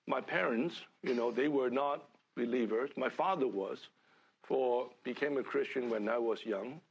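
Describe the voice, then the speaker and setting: An older man from Hong Kong giving a halting sermon to a church gathering, full of fillers and restarts. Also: mid-range voice, creaky, slightly rough, muffled recording. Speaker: church speaker.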